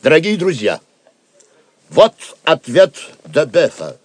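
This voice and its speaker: A man's voice: fairly high pitch, huge pitch swings, slow pace, dry recording, heavily acted. An actor playing a resolute, courteous knight in an audio drama, declaiming to his allies. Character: noble, resolute knight, composed leader among rebels.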